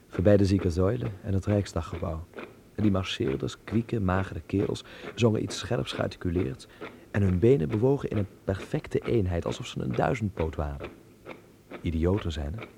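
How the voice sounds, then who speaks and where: An actor playing a young Dutch amateur filmmaker in a radio drama, wry and observant, with a reflective, measured delivery and a gravelly voice. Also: male voice, deep voice, dark tone. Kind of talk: radio drama acting and letter narration. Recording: noisy old radio play recording.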